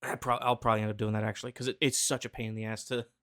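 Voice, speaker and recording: A male podcast host chatting casually with his co-host. He jokes around and rambles, with plenty of fillers and false starts in a mid-pitched voice. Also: level tone, rapid-fire, fairly bright tone. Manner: joking, rambling, many fillers and restarts. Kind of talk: casual chat.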